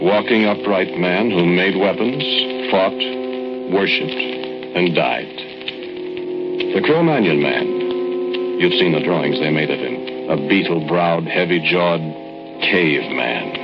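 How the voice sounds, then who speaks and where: Haunted older man narrating old radio horror, low slow grave voice, music behind, muffled. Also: animated, heavily acted. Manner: grave, deliberate, dramatic pauses. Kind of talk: 1940s radio drama narration. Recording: dull, lo-fi old radio recording. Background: dramatic music.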